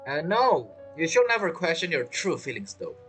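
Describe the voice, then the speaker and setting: Animated game streamer with non-native English. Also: medium pitch, moderate pace, faint music behind. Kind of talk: casual let's play commentary.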